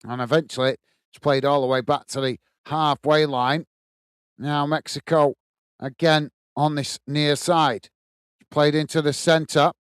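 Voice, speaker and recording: A man's voice: on the high side, flat delivery, measured pace, smooth, no room sound, clean studio sound. An English man hosting a live football watchalong, calling the play steadily and reading out chat comments. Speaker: watchalong host.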